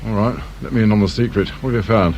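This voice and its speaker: Actor as gruff, low-voiced older British police inspector. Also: male voice, fast, darkish tone, muffled recording, close to an everyday voice. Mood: dry, grumbling. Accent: British English. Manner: dry, grumbling, clipped questioning. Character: gruff, world-weary older male police inspector, dryly sardonic. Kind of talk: radio drama acting. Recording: hissy old radio drama recording.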